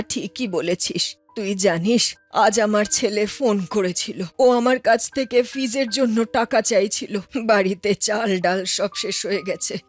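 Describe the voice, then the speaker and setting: A woman voicing a slow, motherly older village auntie. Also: medium pitch, pitched below the performer's own voice, lightly acted. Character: kindly older village auntie, worried egg-selling mother.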